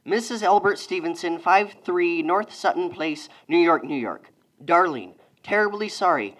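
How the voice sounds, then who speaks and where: An actress playing a businesslike telegram clerk in a radio play, reciting in a formal, matter-of-fact way. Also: female voice, lowish voice, expressive, unhurried. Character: businesslike telegram company clerk reading a message.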